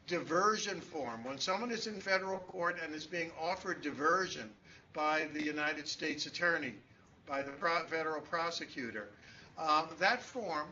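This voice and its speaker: A man speaks over a muffled remote connection. His voice is bright and slightly high, and he talks with frequent fillers and restarts. A faint hum of ambience sits behind him. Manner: hesitant, many restarts and fillers. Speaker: conference speaker, law professor. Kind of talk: conference talk over remote link. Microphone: distant room microphone on a call.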